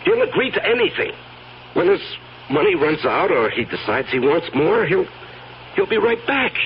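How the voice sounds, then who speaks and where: A man's voice: high voice, big pitch swings, normal speed. An actor playing a hard-headed, grimly insistent man in a radio mystery drama, with emphatic delivery. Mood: exasperated, insistent. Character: tense, hard-headed man cornered by a blackmailer. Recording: muffled old radio, faint noise.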